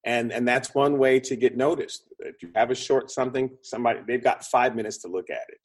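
A man speaking as an expert interview guest, explaining conversationally in an animated way with short punchy phrases and a slightly husky voice. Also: medium pitch, a little fast. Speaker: interview guest, screenwriting consultant and producer.

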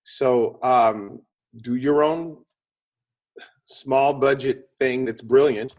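Husky-voiced man explaining things in an interview. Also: mid-range voice, drawn-out words. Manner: animated, acts out examples in short punchy phrases. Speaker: interview guest, screenwriting consultant and producer.

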